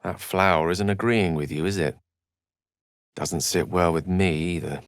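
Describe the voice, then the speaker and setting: An actor playing a bookish, witty and earnest human wizard in a video game, with erudite, theatrical phrasing and a touch of melancholy. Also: male voice, low voice, fairly even intonation, measured pace, smooth, no echo, studio quality. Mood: confiding. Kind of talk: video game character voice lines.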